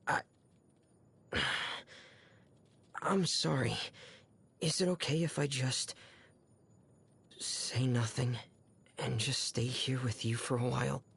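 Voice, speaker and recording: An actor playing a shy, anxious, devoted young man in a video game, soft and breathy, with hesitant, self-effacing phrasing. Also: medium pitch, slow pace, pauses often, exaggerated voice. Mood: overwhelmed, nervous.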